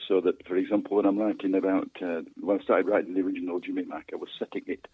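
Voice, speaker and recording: A man's voice: low-pitched, steady tone, quick pace, slightly rough, no room sound. Older man on a tinny phone line. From West Yorkshire, England. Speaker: phone guest, author. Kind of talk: casual interview conversation.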